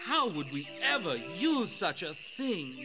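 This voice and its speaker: A haughty, sly cartoon snake king, speaks high and slow with wildly swooping pitch. He draws out his words mockingly over music. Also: very animated, exaggerated voice. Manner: theatrical, mocking, drawn-out. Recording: music behind the voice.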